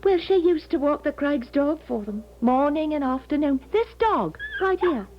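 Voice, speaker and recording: A woman's voice: sits high, expressive, normal speed, slight background noise. An actress playing a kindly working-class London charwoman with a London accent, folksy and rambling, in a 1970s radio drama. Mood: chatty. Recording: dull 1970s radio broadcast.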